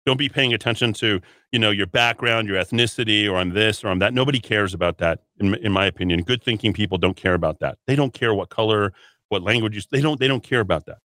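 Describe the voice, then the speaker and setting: Talk radio guy ranting solo, fiery edgy tone, kinda low voice, sniffly nose, keeps restarting his words. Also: fast, sharp tone, no echo, clean studio sound. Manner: combative, rambling, repeats words and restarts. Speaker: radio talk show host. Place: radio studio.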